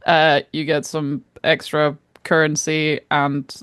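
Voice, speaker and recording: A woman's voice: low voice, little pitch movement, slow, a bit bright, clean tone, dry recording. A woman co-hosting a gaming podcast, chatting informally with blunt, exasperated emphasis. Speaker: podcast co-host. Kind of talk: casual podcast chat about gaming.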